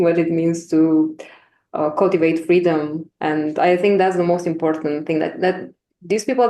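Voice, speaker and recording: Earnest woman, mellow voice, echoey room. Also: lowish voice. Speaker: researcher, guest speaker. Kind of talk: reflective conversational answer. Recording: roomy, echoey sound.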